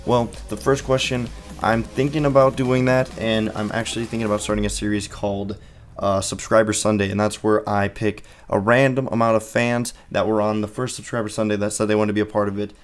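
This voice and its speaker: Young male gamer host, rambly Q and A, lowish voice, faint music underneath. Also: flat delivery, fairly quick. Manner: chatty, rambling answers with fillers. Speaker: gaming channel host. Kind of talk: casual Q and A video. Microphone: gaming headset mic.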